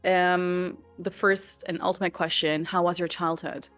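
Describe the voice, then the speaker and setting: A woman's voice: on the low side, little pitch movement, a little slow, a bit bright, no room sound, faint music behind. A young woman from Germany with German as her first language, hosting an interview and asking questions hesitantly, with many "um"s and slightly uneven English. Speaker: podcast host.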